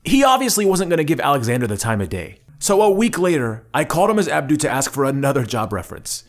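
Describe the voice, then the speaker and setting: A man's voice: fairly high pitch, very animated, fast, very noisy. A man narrating a prank video in a wry voice-over.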